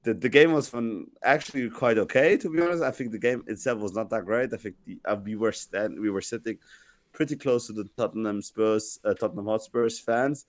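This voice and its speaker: A man who is sick and sounds deeper than usual, telling a rambling story on a casual podcast with many restarts. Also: brisk, no echo. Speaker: podcast co-host.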